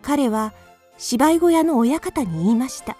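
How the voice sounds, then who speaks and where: A woman narrating a children's radio drama in a calm, clear storytelling manner. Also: sits high, measured pace, soft background music.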